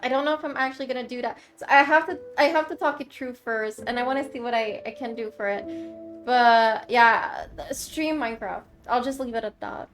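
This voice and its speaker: Chatty high-voiced Quebec French woman streamer. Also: a little fast, a bit bright. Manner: chatty, rambling, laughs often, thanks viewers. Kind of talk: casual chat with viewers. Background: game music.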